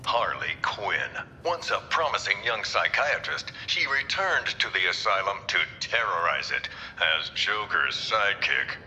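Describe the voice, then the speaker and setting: An actor playing a dramatic documentary narrator in a video game, grave and punchy. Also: male voice, on the low side, very animated, measured pace, hardly any pauses, pushed and bright, slight background noise, exaggerated voice. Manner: grave, punchy announcer delivery. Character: dramatic male TV documentary narrator of villain histories. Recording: long hall reverb, faint music.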